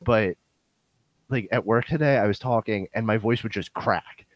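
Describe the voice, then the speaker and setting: A young man hosting a casual podcast with a hoarse, worn-out voice that sounds nasal and bassy, rambling with lots of fillers. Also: normal speed, no room sound, rough recording. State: hoarse, voice going. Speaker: podcast host.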